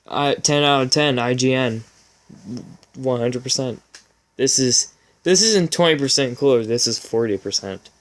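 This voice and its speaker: Clear-voiced man reading fan fiction casually. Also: medium pitch, a little slow. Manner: informal, amused, stumbling over words. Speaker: channel host.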